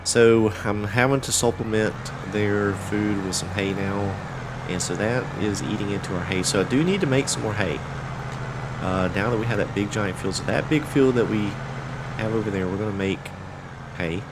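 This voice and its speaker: Congested guy, lowish voice, rambling let's play chatter, lots of uhs, faint game sounds behind. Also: moderate pace, noisy background. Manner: relaxed, rambling, with many fillers and restarts. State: congested, voice cracking. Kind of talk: casual gameplay commentary.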